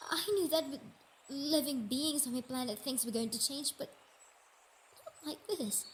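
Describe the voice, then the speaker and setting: A woman's voice: high voice, normal speed, a little hiss or hum, close to an everyday voice. A woman voicing a sickly, motherly Earth goddess in an intimate roleplay monologue, hesitant and emotional, dipping toward whispers. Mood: wounded anger. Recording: nature ambience with birdsong behind voice.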